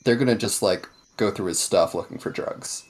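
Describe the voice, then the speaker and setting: A man playing a tabletop roleplaying game, talking casually about the story in a halting, measured way. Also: lowish voice.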